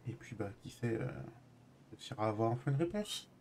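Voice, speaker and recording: A man playing a hesitant, reserved character in improvised roleplay, speaking halting conversation that often trails off. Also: medium pitch, animated, a little mellow, slight background noise, natural voice. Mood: resigned, halting.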